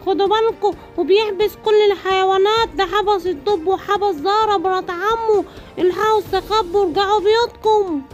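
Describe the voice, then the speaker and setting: An Egyptian woman voicing a panicky, chattering parrot who shouts warnings to the other animals in a cartoon. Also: very high-pitched, fairly even intonation, fairly quick, faint music behind. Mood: alarmed.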